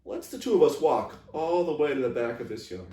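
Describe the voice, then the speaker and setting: A man voicing a kindly, plainspoken old Southern woman with a folksy, gently admonishing way, as he reads a story. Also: medium pitch, very animated, fairly quick, pitched above the performer's own voice, natural voice. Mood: gentle, frank. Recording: echoey room, distant microphone.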